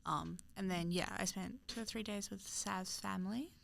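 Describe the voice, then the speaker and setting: A woman's voice: medium pitch, moderate pace, vocal fry, fairly bright tone. A young woman from New Zealand with a New Zealand accent, co-hosting a podcast, chatting casually and agreeing often with lots of yeahs. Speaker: podcast co-host. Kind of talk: casual chat.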